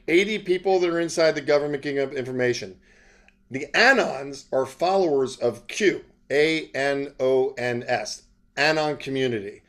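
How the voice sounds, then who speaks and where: A man with a medium-pitched voice hosts an interview in a loose, conversational way. He rambles casually and often drifts into side remarks before returning to his point. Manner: informal, conversational, digresses into asides. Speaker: interviewer, self-styled journalist host.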